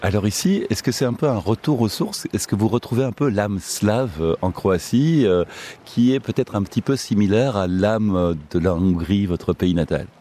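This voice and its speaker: A man with a Belgian French accent hosting a radio interview, asking measured questions with pauses. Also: lowish voice, warm tone. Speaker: radio host.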